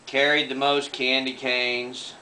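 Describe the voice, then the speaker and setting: A man's voice: mid-range voice, level tone, slow pace, sharp tone, far from the mic. A middle-aged man, a father reading a picture book aloud with playful, mischievous joking. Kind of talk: storybook reading with joking ad-libs. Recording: noisy home recording with clicks.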